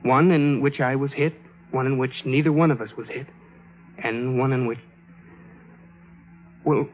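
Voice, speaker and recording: A man's voice: mid-range voice, steady tone, measured pace, lightly acted. An actor playing an earnest, desperate bereaved man in a 1950s radio drama, insistent and persuasive in his delivery. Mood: persuasive, intense. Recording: muffled vintage 1950s radio recording.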